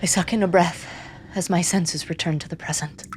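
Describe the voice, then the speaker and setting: An actress playing a grim, haunted seer who reads the dead, narrating in the first person with hushed, deliberate intensity. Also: female voice, fairly low pitch, steady tone, a little hiss or hum, lightly acted. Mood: somber, wistful. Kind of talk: first-person dramatic narration in audio drama.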